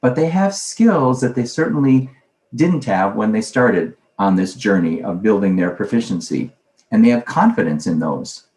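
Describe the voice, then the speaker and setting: A man's voice: fairly low pitch, expressive, normal speed, a little mellow. Man lecturing in a roomy space. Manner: conversational, asks himself questions aloud. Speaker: conference speaker on language teaching. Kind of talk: recorded presentation lecture. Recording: roomy echo.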